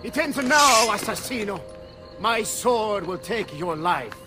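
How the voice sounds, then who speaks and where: A man's voice: high voice, expressive, takes it slowly. An actor playing a ruthless, power-hungry Italian nobleman villain in a video game, with a theatrical, imperious delivery. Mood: vengeful. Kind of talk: dramatic video game acting. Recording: loud music behind the voice.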